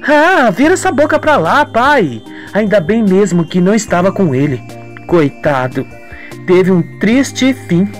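A woman's voice: mid-range voice, very animated, unhurried, soft background music, pitched above the performer's own voice. A narrator voicing a scheming, two-faced young woman in a radio soap, with feigned sorrow and haughty defiance. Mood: evasive. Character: scheming, falsely sweet young woman villain.

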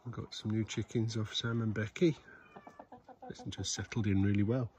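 A man giving a relaxed, rambling outdoor tour of his smallholding, filmed on a handheld phone. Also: on the low side, normal speed, slight background noise. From Scotland. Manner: relaxed, rambling, with restarts and fillers. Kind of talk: casual walking tour chat. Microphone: handheld phone camera.

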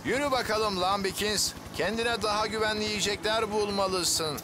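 A man voicing a calm, kindly firefighter hero in a Turkish cartoon dub, reassuring and gently advising. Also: high voice, normal speed, background noise, lightly acted.

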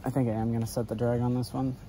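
A man's voice: mid-range voice, flat delivery, rapid-fire, background noise. Young dude rambling while he fishes off a dock, mid voice, chill, faint outdoor sounds. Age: young adult. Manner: rambling, laid-back, loose with fillers. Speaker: fishing vlogger. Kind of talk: casual running commentary while fishing. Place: outdoors on a backyard dock by a canal.

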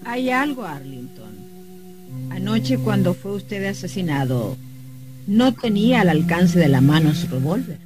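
A man's voice: high-pitched, very animated, measured pace, over loud music. An actor playing a skeptical, probing man with a formal, pointed manner in an old radio drama. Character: skeptical, probing man challenging his host. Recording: faintly noisy vintage radio recording.

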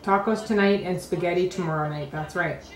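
A woman's voice: fairly low pitch, brisk. A woman in her thirties planning family meals and shopping in a matter-of-fact, casual way. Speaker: mother planning the family menu. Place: home kitchen, car and grocery store. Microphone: handheld camera held by another person. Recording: echoey, noisy camera audio.

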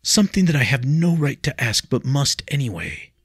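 A man's voice: mid-range voice, sing-song, moderate pace, slightly rough, dry recording, studio quality, voice pushed up. A man playing a remorseful young nobleman in an audiobook story, speaking heatedly and bitterly with mirthless resolve. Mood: pleading.

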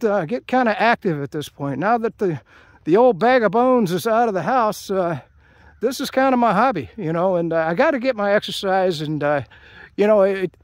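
An older American man chatting casually outdoors as a hiking vlogger, in a rambling, halting way. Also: sits high, no room sound. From United States. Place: outdoors at a trailhead by open fields. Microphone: handheld camera held by speaker.

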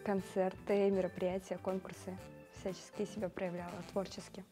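An eighteen-year-old actress speaking expressively in a studio interview, with a lapel mic. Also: female voice, mid-range voice, little pitch movement, normal speed, music in the background. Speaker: actress, show participant. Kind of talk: casual interview. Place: studio with grey backdrop.

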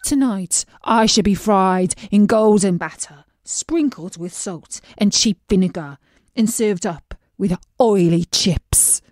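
A woman's voice: mid-range voice, takes it slowly, darkish tone, no room sound, clean studio sound, lightly acted. A woman voicing a glum, plain male pond fish in a storybook reading, speaking in a mournful, heavy way. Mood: gloomy.

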